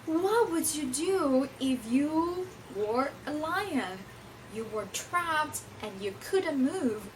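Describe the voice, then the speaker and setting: A woman reads aloud to children in a high, hugely varied voice. She is a non-native English speaker with a theatrical, teacherly manner, taking her time and putting questions to her listeners. There is some faint noise behind her. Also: expressive, drawn-out words, rough recording. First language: not English. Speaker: storyteller reading a picture book to children.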